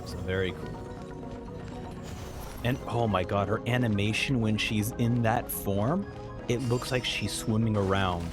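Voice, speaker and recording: A man's voice: lowish voice, measured pace, pauses often, music in the background. A man reacting casually to a video game as he plays it live.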